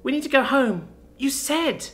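A woman's voice: on the high side, big pitch swings, brisk, a bit bright, pitched above the performer's own voice. A woman voicing a small, frightened young girl in an audiobook, childlike and pleading. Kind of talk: audiobook character acting.

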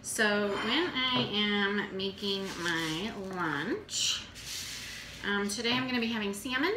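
A woman in her thirties casually showing her meal prep at home, talking in an unhurried way with many fillers. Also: mid-range voice, lively intonation. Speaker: fitness coach vlogger. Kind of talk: casual how-to vlog. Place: home kitchen, indoors. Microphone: camera on the counter. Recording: echoey kitchen with room noise.

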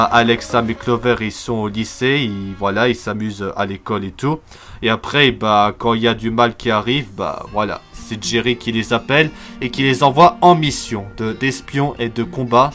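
Young male YouTube host, casual fan commentary, enthusiastic, mid-pitched, light music underneath. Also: a bit bright. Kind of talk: casual TV news commentary for a video channel.

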